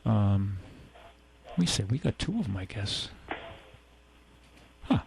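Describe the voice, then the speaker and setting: A man with a New England accent rambles through a casual radio chat about used cars. His voice is gravelly, and he keeps circling back with fillers and restarts. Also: fairly low pitch, big pitch swings, slow, a little mellow, poor audio. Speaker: radio show host, car dealer.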